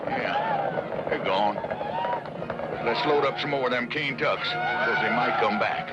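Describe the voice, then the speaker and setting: An actor playing a cantankerous, excitable old rifleman with a rural Western American accent and folksy, blustering delivery in a radio western. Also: medium pitch, slow. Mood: fired up. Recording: dull lo-fi radio recording, loud music.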